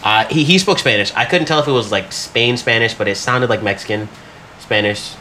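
A man's voice: mid-range voice, a little fast, pushed and bright, a little hiss or hum. A man telling stories in casual podcast banter, slangy and profane, often acting out what people said. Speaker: podcast co-host.

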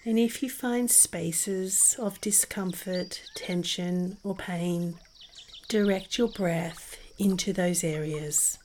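A woman narrating a guided meditation in a soothing, gentle and unhurried manner. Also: fairly low pitch, slight background noise. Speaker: meditation podcast host and guide. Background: music behind the voice.

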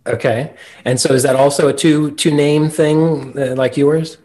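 A man's voice: a little high, steady tone, a little slow, warm tone, faint music behind. A man hosting a podcast in a casual, hesitant and good-humoured way. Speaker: podcast host.

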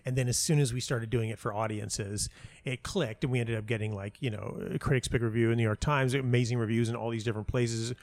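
A man's voice: mid-range voice, steady tone, fast talker, no room sound. A man giving casual interview answers as a playwright, rambling and often interrupting himself as he tells stories. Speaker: playwright and audio dramatist being interviewed.

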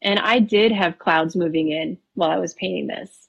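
Husky-voiced American woman painter, chatty. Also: fairly low pitch, normal speed, lo-fi sound. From Wisconsin, USA. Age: 30s. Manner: relaxed, chatty, laughs easily, frequent ums. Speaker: painter guest. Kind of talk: casual interview conversation.

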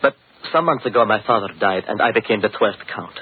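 An actor playing a cultured, haunted count, formal and brooding, in a 1940s radio horror drama. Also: male voice, lowish voice, expressive, brisk, narrow, tinny audio, slight background noise, close to an everyday voice. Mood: troubled, brooding. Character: cultured, haunted Carpathian count, fearful of madness. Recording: thin 1940s radio recording.

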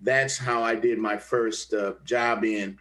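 A man's voice: fairly low pitch, fairly even intonation, takes it slowly, bright, forceful tone. Older man telling stories with restarts. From Kansas City area, USA. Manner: rambling, many restarts and repeated words. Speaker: actor, interview guest. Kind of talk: conversational interview, storytelling.

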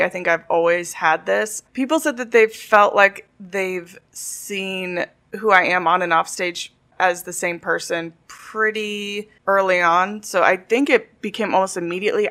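A young woman, a stand-up comedian, talking in a soft, reflective way as a podcast guest. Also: on the low side. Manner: soft-spoken, reflective, often laughing. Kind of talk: casual interview chat.

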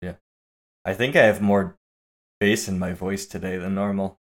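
A man co-hosting a casual movie podcast, relaxed and playful, talking after very little sleep. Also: deep voice, moderate pace. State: low on sleep. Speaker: podcast co-host.

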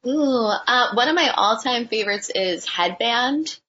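Young woman budtender, husky and gravelly, relaxed chatty interview answers, dull lo-fi recording. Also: on the high side, measured pace. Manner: relaxed, chatty, many fillers. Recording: dull lo-fi sound.

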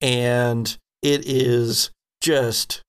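A man narrating a tabletop game as game master, with a halting, descriptive storytelling manner. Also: mid-range voice, flat delivery, smooth.

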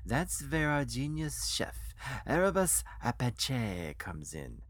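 A narrator voicing a sinister, eccentric male cafe financier with deliberate, theatrical, coldly courteous speech. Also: medium pitch, huge pitch swings, no echo, studio quality, full character voice. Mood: smoothly explaining.

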